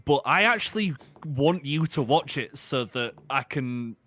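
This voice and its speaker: A man's voice: medium pitch, expressive, a little slow, fairly bright tone. A young man with a Yorkshire accent, a stream guest in casual chat, ranting with animation and often correcting himself. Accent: Yorkshire English. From Yorkshire, England.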